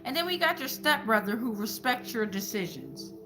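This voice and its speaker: A woman's voice: on the high side, sing-song, normal speed, loud music behind the voice. A woman host giving an informal spiritual tarot reading to viewers, speaking colloquially and repeating phrases like incantations. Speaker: tarot reader and channel host.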